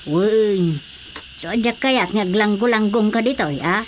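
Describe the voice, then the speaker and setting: A woman's voice: medium pitch, a little slow, natural voice. A woman playing a stern, admonishing aunt in an Ilocano radio comedy drama, with an Ilocano accent and a matter-of-fact manner. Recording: faint background noise.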